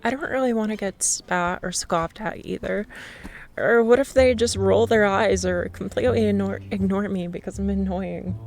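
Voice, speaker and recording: A young woman in a scripted ASMR roleplay, playing a shy, nervous stranger who rambles and laughs nervously in a soft voice. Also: medium pitch, moderate pace, creaky.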